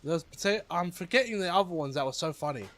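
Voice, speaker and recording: A man's voice: high voice, expressive, fast, a bit bright. A young male gamer with a voice sitting a little above the usual for men talks through his play in a loose, meandering way. He is chatty and easily amused, often trailing off mid-thought before picking the thread back up. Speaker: gamer playing a video game. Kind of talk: casual gameplay commentary.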